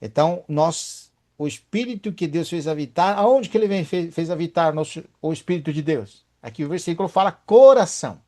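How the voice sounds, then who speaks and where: A man's voice: a little high, normal speed, hardly any pauses, dark tone. A man leading a Bible study, emphatic and often asking his listeners for agreement.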